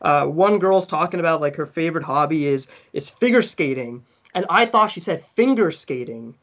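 Animated storytelling man, slightly muffled. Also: on the high side, normal speed. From South Africa. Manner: animated, laughing often, acts out quoted people. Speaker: podcast guest. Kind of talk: casual storytelling.

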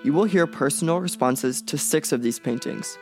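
A young man, a student presenter, reading scripted audio guide narration in a measured way. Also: a little high, faint music behind. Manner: measured, read-aloud delivery.

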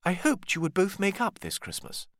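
Soft, high-voiced gentle matriarch, done by a man. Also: huge pitch swings, normal speed, no echo, full character voice. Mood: gently reproving. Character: gentle, conciliatory mother and grandmother, well-to-do matriarch. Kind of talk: audiobook character dialogue.

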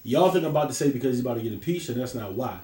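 A man's voice: medium pitch, animated, racing, warm tone, slight background noise. A man co-hosting a podcast with speech, arguing casually and emphatically and repeating phrases for stress. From Barbados. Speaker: podcast co-host. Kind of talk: heated casual group debate. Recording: echoey room.

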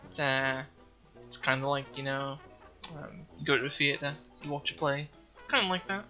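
A man's voice: medium pitch, moderate pace, sharp tone, soft background music. A young man from northeast England hosting a casual podcast chat, rambling with lots of ums and restarts. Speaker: podcast host.

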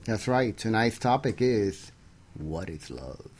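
A man's voice: fairly low pitch, very animated, slow pace, darkish tone, husky, soft background music. A man co-hosting a radio talk show in a conversational style.

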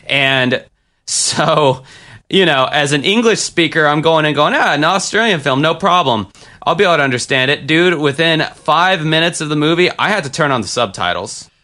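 A man's voice: on the high side, animated, normal speed, bright, forceful tone. An American man in casual film review chat, rambling with frequent restarts and fillers. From United States. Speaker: film podcast co-host.

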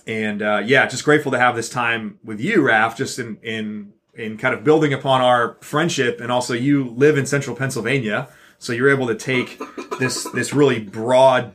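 A man's voice: medium pitch, fairly bright tone. A man co-hosting a church podcast, talking in a rambling, encouraging way with frequent fillers. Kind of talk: casual chat.

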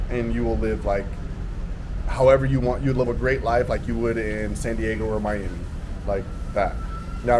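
Laid-back man trading travel tips in slangy chat; mid-range voice, audible ambient noise behind. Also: medium pitch. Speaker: podcast guest. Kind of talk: casual podcast chat. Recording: noticeable background ambience noise.

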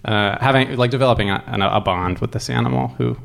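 A man telling a true story in a casual, thoughtful way, haltingly and conversationally. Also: mid-range voice, creaky, a bit bright.

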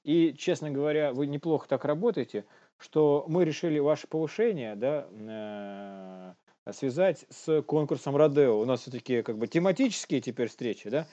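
A man's voice: a little high, animated, normal speed, warm tone, muffled recording, voice pushed up, close to an everyday voice. A man playing a bumbling office boss who runs a meeting in a hesitant, mock-official way for comedy. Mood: earnest, hesitant.

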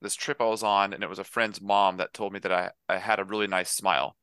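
A man shares casual, profane anecdotes on a podcast. His voice is low and sultry, with a bright, forceful edge, captured dry and close. He is wry and a little hesitant. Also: flat delivery, quick pace, clear, resonant tone, no room sound. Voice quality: deep, sultry. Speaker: podcast co-host.

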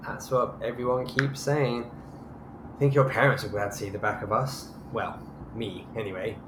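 A man's voice: mid-range voice, flat delivery, moderate pace, natural voice. A man playing an anxious, hesitant, old-fashioned husband in a naturalistic audio drama. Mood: worried. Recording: echoey, clearly noisy ambience.

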